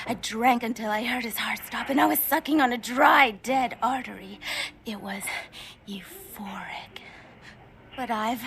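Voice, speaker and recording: An actress playing a distraught, hungry, guilt-ridden young female vampire in a video game, with breathy, halting, anguished delivery. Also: a little high, fairly bright tone, a little hiss or hum. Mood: dazed, guilty.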